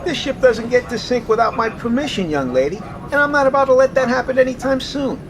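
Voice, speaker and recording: An actor playing a gruff, steady submarine captain in an audio drama, giving clipped orders. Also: mid-range voice, brisk, crowd noise, close to an everyday voice, poor audio. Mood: reassuring. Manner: clipped barked orders, reassuring. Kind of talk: full-cast audio drama acting. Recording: loud music bed behind.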